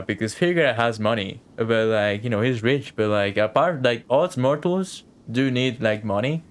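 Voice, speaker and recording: A man giving casual live gameplay commentary as a streamer, chatty, wry and sceptical. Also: medium pitch. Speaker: game streamer.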